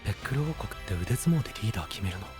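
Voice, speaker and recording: Man playing an easygoing young knight, lively intonation. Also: fairly low pitch, racing, soft background music, natural voice. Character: easygoing, level-headed, gentle young male knight. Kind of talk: Japanese anime-style voice drama acting.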